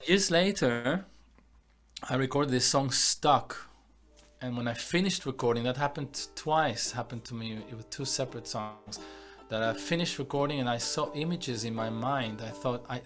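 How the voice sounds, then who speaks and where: A man from Argentina who grew up in Israel, a composer talking in a casual video-call interview, reflective and halting with many restarts. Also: medium pitch. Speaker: composer and musician, guest. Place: home room, indoors.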